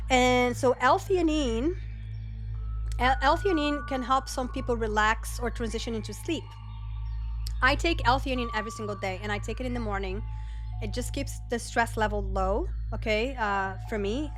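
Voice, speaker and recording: A woman with a Brazilian Portuguese accent, a native Portuguese speaker, hosting a casual livestream, chatty and rambling, reading chat aloud and laughing often. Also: medium pitch. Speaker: livestream host.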